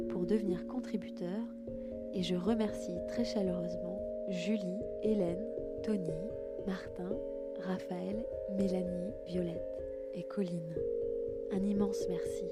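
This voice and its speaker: Female meditation guide, calm and gentle, mid-pitched, spaced phrasing, music underneath. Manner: calm, gentle, slow instructions with pauses. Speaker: podcast host and yoga nidra guide.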